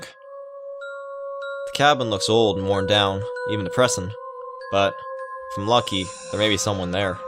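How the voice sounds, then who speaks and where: A man with a fairly low voice hosts a game playthrough. He reads the story aloud and breaks into casual, rambling, halting commentary, with music clearly playing underneath. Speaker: gaming video host. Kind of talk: visual novel read-aloud with casual commentary.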